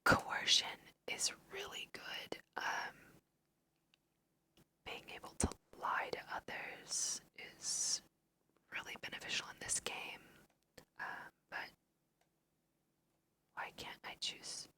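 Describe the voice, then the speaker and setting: A woman speaks entirely in a breathy whisper with a very bright, sharp edge. She takes her time, unhurried and slow. Her style is casual ASMR game commentary, chatty, as if thinking aloud while she plays. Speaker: host of an ASMR let's play.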